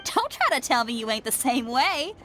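A woman's voice: high-pitched, sing-song, moderate pace, bright, forceful tone, exaggerated voice. An actress playing a brash young female rock musician in a game, talking casually with slang. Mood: eager. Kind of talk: game voice acting. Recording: game audio with music behind.

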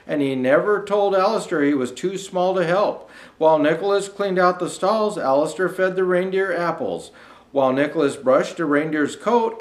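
Lively older man reading a children's storybook. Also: a little high. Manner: folksy, addressing young viewers directly. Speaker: storyteller in a Santa persona. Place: small indoor decorated studio set.

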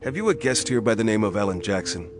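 An actor playing a tough, suspicious police detective, blunt and hard-boiled, in a pulp thriller audio drama. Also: male voice, on the low side, expressive, fast talker, over music, lightly acted. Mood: businesslike.

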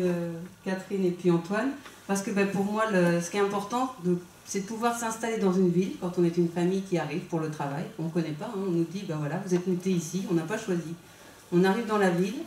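Woman, forties, standing and introducing herself at a campaign meeting; chatty, full of pauses, mellow, slightly low voice, echoey hall taken from a distance. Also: a little hiss or hum. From Vendée, France. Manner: chatty, with many hesitations. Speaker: substitute candidate at a public meeting. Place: small meeting room, indoors. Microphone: handheld mic, filmed by a far camera. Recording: echoey room heard from afar.

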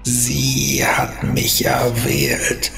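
A man voicing a gaunt, menacing undead sea lord in a slow, gurgling, breathy rasp for a fantasy story. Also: mid-range voice, little pitch movement, pushed and bright, faint music behind, full character voice. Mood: ominous, commanding. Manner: slow, drawn-out, commanding.

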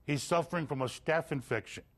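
A man's voice: on the high side, brisk. A middle-aged man reading scripted sports news as a television anchor in a studio.

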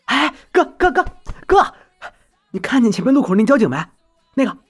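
Give me a woman acting an animated, cheeky younger sibling. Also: high voice, moderate pace, husky, soft background music, exaggerated voice. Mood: excited. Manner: teasing, chatty, exclamatory. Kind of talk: audio drama acting.

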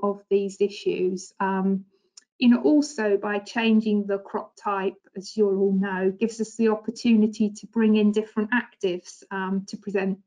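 A woman's voice: mid-range voice, a little mellow, poor audio. A woman presenting a farming webinar over a video call, talking conversationally with many fillers. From England. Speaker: agronomist presenter. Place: indoor home office. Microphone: webcam video call.

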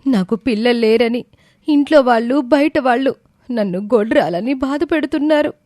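A woman's voice: fairly high pitch, moderate pace, darkish tone, dry recording, studio quality, lightly acted. A woman playing a sorrowful, meek young wife with a plaintive manner in a story drama. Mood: tearful lament.

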